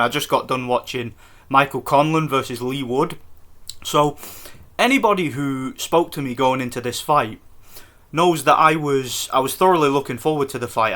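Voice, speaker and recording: A man from Scotland giving a casual, excited post-fight review for his vlog, rambling with restarts. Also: mid-range voice. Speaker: boxing vlogger.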